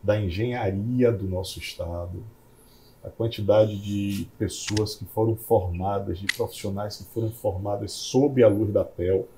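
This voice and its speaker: Gravelly, warm-toned host. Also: male voice, lowish voice, steady tone, a little slow, a little hiss or hum, poor audio. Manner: effusive, emphatic praise. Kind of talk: casual interview chat.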